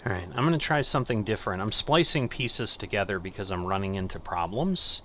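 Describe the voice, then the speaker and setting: A middle-aged man giving casual gameplay commentary and controller commands, thinking aloud in an unhurried way. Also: lowish voice, expressive, fairly bright tone, slight background noise. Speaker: gaming channel host playing air traffic controller.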